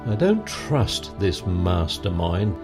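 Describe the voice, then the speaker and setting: A man's voice: sits low, sing-song, takes it slowly, exaggerated voice. An actor playing a frail, very old president and grandfather, solemn and pleading, in an audio drama. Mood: grave. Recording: music under the voice.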